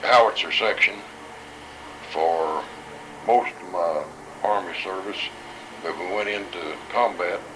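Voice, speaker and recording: An elderly man, a war veteran, recalling his service in a plain, halting, matter-of-fact way. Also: deep voice, background noise. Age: 80s or older. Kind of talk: oral history interview recollection.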